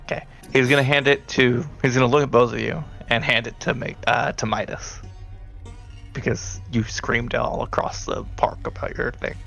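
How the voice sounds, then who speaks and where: A man's voice: mid-range voice, steady tone, normal speed, vocal fry, fairly bright tone, soft background music. A man improvising narration as a tabletop game master, talking conversationally and directly to his players.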